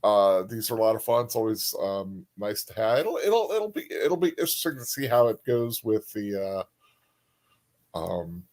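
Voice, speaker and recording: A man chatting casually as a livestream co-host, speaking in halting, repetitive phrases that often trail off. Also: lowish voice, big pitch swings, a little mellow. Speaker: co-host of a book discussion stream.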